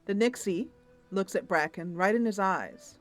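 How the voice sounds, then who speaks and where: A woman narrating an improvised fantasy roleplay as a streamer, in an expressive, descriptive storytelling manner. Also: mid-range voice, a little slow, soft background music.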